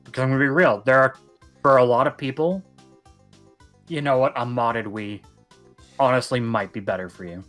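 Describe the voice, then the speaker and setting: A man's voice: medium pitch, normal speed, long pauses, a bit bright, soft background music. A young man co-hosting a casual podcast, rambling and emphatic as he talks at length. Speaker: podcast co-host. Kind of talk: casual chat.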